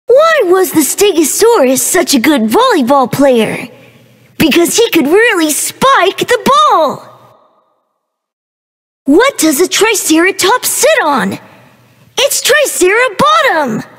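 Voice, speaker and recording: Very high-pitched, playful baby dinosaur. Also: female voice, very animated, slow, a bit bright, big-room sound, exaggerated voice. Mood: joking, playful. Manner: childlike, bubbly, exaggerated. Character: playful, innocent baby dinosaur, childlike and excitable. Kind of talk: video game character voice lines.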